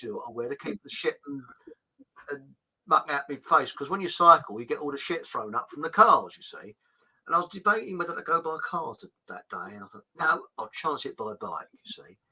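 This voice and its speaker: A middle-aged man chats casually, laughing often as he rambles through stories. His voice is very bright and forward, and his pitch swings widely. He swears lightly and keeps the tone conversational. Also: breathy edge, poor audio. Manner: rambling anecdotes, frequent laughs, mild swearing. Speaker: podcast host. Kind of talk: casual storytelling chat.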